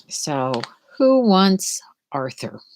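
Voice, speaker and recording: A middle-aged woman reads a picture book to children in an unhurried way, with plenty of rise and fall in her pitch. She pauses often and talks casually to her young listeners, asking them gentle questions as a storyteller. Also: very animated, slight background noise, rough recording. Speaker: storyteller hosting a read-aloud for schoolchildren. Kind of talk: live picture-book read-aloud with casual chat.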